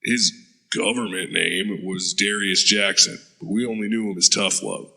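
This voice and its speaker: A man's voice: fairly low pitch, steady tone, normal speed, creaky, bright, forceful tone, no echo, full character voice, poor audio. A man playing a hardened veteran soldier who talks in a blunt, profane way. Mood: gruff, recounting. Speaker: interrogated war veteran. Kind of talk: audio drama acting.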